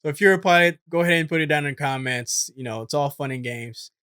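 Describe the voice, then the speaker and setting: A man's voice: fairly high pitch, quick pace, sharp tone, smooth, dry recording. Casual man explaining in a dry room. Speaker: host, former military air traffic controller.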